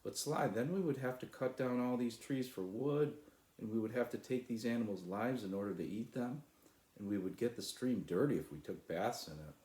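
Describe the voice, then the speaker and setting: A man's voice: mid-range voice, moderate pace, warm tone, roomy sound, voice pushed up, close to an everyday voice, poor audio. A man voicing a loyal, steadfast talking dog in a children's storybook, reassuring and reasoning. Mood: earnest, cautioning. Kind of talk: children's storybook read aloud.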